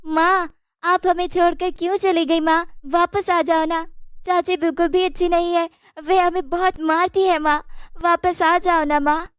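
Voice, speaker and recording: A woman's voice: extremely high pitch, little pitch movement, measured pace, no room sound, studio quality. A woman voicing a timid orphan girl in a cartoon story, a protective older sister who pleads respectfully and plaintively. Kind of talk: Hindi cartoon moral story.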